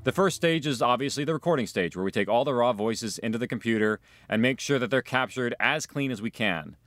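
A man's voice: mid-range voice, a little fast, a bit bright, soft background music. A man explaining how an audio drama is produced, in a documentary interview style.

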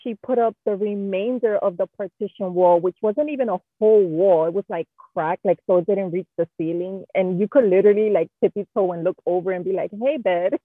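A woman's voice: mid-range voice, fairly quick, few pauses, husky, no echo. Candid woman on a tinny dry line. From Queens, New York. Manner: candid, animated storyteller with slang. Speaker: guest interior designer. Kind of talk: casual conversational interview.

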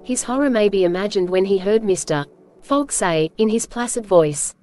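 A woman's voice: mid-range voice, moderate pace, warm tone. A woman reading scripted audiobook narration as the storyteller. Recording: faint music behind narration.

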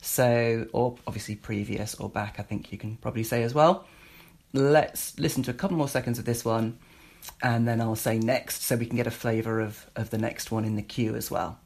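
Male British presenter, mid-pitched, casual demo style, hesitant, informal rambling. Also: fairly even intonation, normal speed, gravelly, darkish tone. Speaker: presenter demonstrating a voice assistant skill. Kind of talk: casual spoken demonstration.

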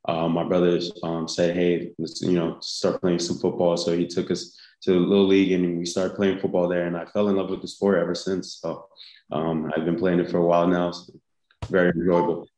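A young man from the United States, a football player being interviewed, answering in an easygoing, halting way with many pauses. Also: deep voice, completely flat delivery, a little mellow. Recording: roomy echo.

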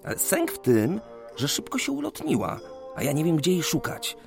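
An actor playing a bashful, lovestruck young geometer in a period radio drama, polite and prone to hesitant stammering. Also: male voice, on the high side, huge pitch swings, moderate pace, creaky, heavily acted. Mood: eager, polite. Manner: hesitant, stammering when flustered. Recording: music behind the voice.

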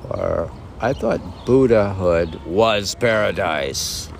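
A man reading his own poems with casual, rambling commentary, halting and musing as he puts questions to himself. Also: sits low, expressive, gravelly. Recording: noisy outdoor recording with birds. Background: birdsong, ambient noise.

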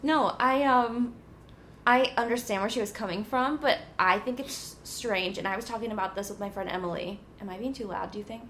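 A bubbly young woman hosts a chatty podcast, talking loudly and laughing easily. Her voice sits at a middling pitch for a woman. A faint hum of room ambience runs underneath. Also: a little fast. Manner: bubbly, laughs often, loud. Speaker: podcast host. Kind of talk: casual podcast chat. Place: New York apartment room.

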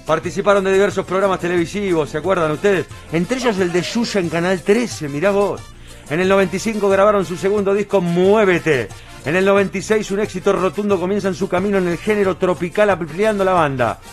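A male host with an Argentine accent speaks in a high-set voice. His presenting style is theatrical and emphatic, made for a show interview. Faint music sits behind him. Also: brisk.

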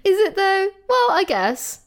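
A woman's voice: extremely high pitch, very animated, measured pace. A woman co-hosting a podcast, talking in a chatty, informal and opinionated way, as if with a friend. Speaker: podcast co-host. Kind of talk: casual chat between friends.

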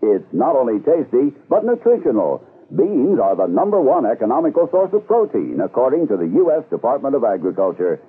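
Husky, animated male ad announcer. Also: mid-range voice, brisk, few pauses. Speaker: commercial announcer. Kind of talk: scripted radio ad read. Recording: tinny 1970s radio.

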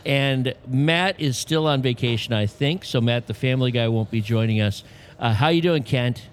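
A man's voice: mid-range voice, moderate pace, a little hiss or hum. Upbeat man hosting a podcast. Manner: upbeat, teasing. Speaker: podcast co-host. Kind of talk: casual podcast chat.

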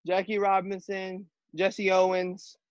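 A teenage high school quarterback with a high-pitched voice, answering interview questions earnestly with many fillers and restarts. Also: male voice, monotone, no room sound. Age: teens. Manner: halting, filler-heavy, earnest. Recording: dull, muffled audio.

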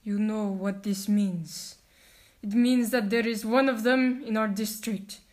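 A woman's voice: mid-range voice, a little slow, lightly acted. A woman voicing a loving old grandmother who speaks firmly and reassuringly, in a storybook reading. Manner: firm, calm and reassuring.

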